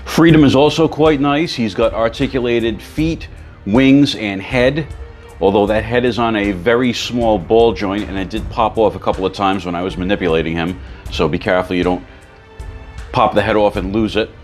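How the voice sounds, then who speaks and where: Hoarse man reviewing toys. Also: fairly low pitch, moderate pace, faint music behind. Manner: chatty, informative. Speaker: toy reviewer. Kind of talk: toy review vlog.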